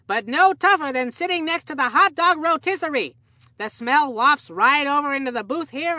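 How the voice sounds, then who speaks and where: A woman's voice: high voice, sharp tone, dry recording. A woman voicing an enthusiastic, chatty boy baseball commentator in a cartoon video game, telling things in a folksy, earnest way. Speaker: sports commentator.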